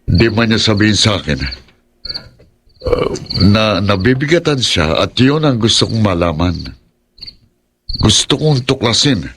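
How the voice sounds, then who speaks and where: Man acting troubled, brooding older brother, lowish voice. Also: expressive, a little slow, pauses often, background noise. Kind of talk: Filipino horror audio drama acting.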